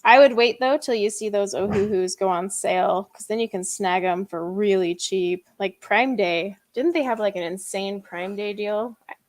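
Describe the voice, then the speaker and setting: A woman's voice: medium pitch, moderate pace. A woman hosting a live coloring chat stream, talking casually with lots of fillers and frequent laughter. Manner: chatty, laughs often, many fillers.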